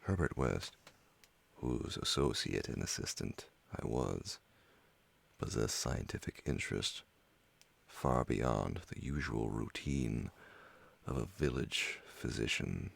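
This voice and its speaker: A man narrating a gothic horror tale as an ASMR bedtime story, in short, measured, deliberate phrases. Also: a bass voice, vocal fry.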